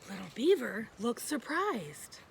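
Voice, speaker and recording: A woman reading a children's picture book aloud in a gentle, expressive storytelling style. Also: fairly high pitch, takes it slowly, creaky, a little hiss or hum.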